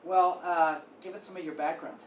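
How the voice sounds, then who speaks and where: A man's voice: a little high, steady tone, moderate pace. Guy asking interview questions, jokey, echoey room, noisy. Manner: chatty, joking. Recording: roomy, distant mic with background noise.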